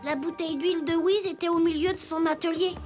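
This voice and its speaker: A woman's voice: extremely high pitch, steady tone, brisk, soft background music. A woman voicing an earnest, kind little wooden toy boy detective in a children's cartoon. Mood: earnest, inquisitive.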